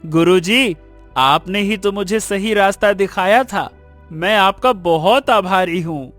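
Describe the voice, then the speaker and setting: A humble, repentant schoolboy from a cartoon village tale, voiced by a man. The voice is very high and somewhat slow, with earnest, emphatic delivery. Soft music runs behind it. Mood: grateful. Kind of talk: animated moral story dubbing.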